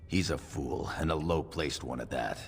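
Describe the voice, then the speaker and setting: An actor playing a gruff, loyal dwarf attendant in a fantasy video game, dry and dismissive in manner. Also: male voice, extremely low pitch, normal speed. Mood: dry, scornful. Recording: reverberant hall with noisy ambience.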